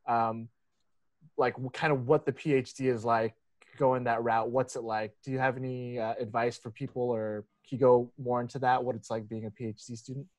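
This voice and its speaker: A man's voice: medium pitch, flat delivery, normal speed, darkish tone, no room sound. Man hosting a podcast, dry sound. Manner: casual, hesitant questioning. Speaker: podcast host. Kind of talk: interview podcast questions. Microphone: headset on a video call.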